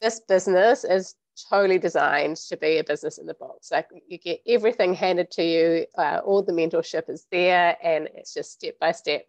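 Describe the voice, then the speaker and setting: A woman in her thirties with a croaky voice from recent illness, chatting casually about her home business. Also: lowish voice, dry recording, lo-fi sound. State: croaky voice, getting over illness. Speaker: business mentor host.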